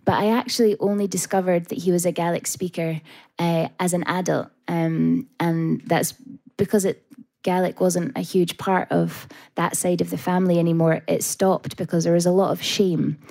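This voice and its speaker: A Scottish woman, a folk singer, talking softly with many pauses and fillers about her background. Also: lowish voice, steady tone, vocal fry. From Scotland. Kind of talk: interview and live stage talk.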